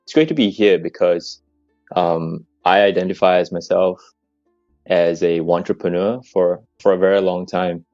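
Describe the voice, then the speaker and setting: A young man from Malaysia speaks conversationally as a podcast guest. His voice is deep, and the recording is dry and a little lo-fi. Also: steady tone, normal speed, darkish tone, dry recording. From Kuala Lumpur, Malaysia. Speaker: startup co-founder, podcast guest. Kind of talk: casual personal story in an interview. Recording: dull lo-fi mic, faint music.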